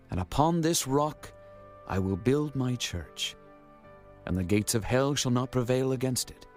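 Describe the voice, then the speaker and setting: A man playing an authoritative holy teacher in a dramatized scripture reading, solemn and commanding in archaic English. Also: fairly low pitch. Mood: solemn authority. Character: Jesus, authoritative adult male teacher and messiah.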